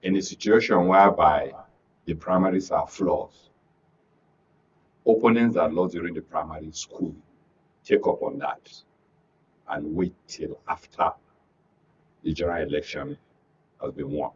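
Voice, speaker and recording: A man with a Nigerian accent giving his view as a guest on a political panel, speaking in a hesitant, halting way. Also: sits low. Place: radio and TV studio. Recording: distant mic, muffled, noisy background.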